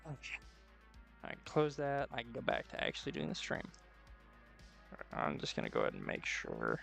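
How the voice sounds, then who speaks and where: A man's voice: mid-range voice, wildly swooping pitch, normal speed, gravelly, a bit bright, faint music behind. A man casually thinking aloud on a live creative stream, muttering to himself in a playful, profane way. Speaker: streamer doing 3D art.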